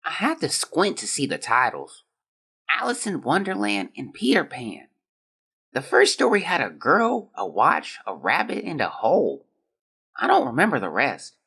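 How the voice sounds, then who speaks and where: An actor playing a gravely ill, weary young boy who haltingly reads out his diary in an audio drama. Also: medium pitch, huge pitch swings, fairly bright tone, full character voice. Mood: sick, drifting. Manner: weak, halting, drifting. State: feverish and exhausted.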